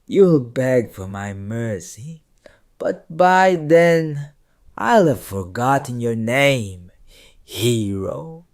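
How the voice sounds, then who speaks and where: A synthetic voice playing an arrogant, condescending villain, breathy and drawn out, in a character voice demo. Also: medium pitch, expressive, very slow, no echo, studio quality. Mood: gloating. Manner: drawn out, haughty. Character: arrogant villain who underestimates the hero, scheming, condescending.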